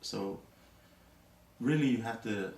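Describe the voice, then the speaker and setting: A man's voice: on the low side, slow pace, creaky, a little mellow, echoey room, distant mic. A man from Tonga, an interviewee, talking reflectively in a casual way, with many "you know" fillers.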